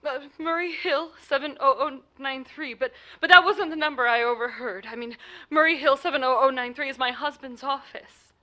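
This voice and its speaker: A woman's voice: high-pitched, moderate pace, bright, forceful tone. A woman playing an anxious, imperious bedridden wife in a 1940s-style radio play, stammering and insistent in an agitated way. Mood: anxious, fretful.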